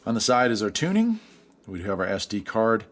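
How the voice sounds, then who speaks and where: A man's voice: lowish voice, big pitch swings, fairly quick. A man giving a casual product review in a folksy, easygoing way.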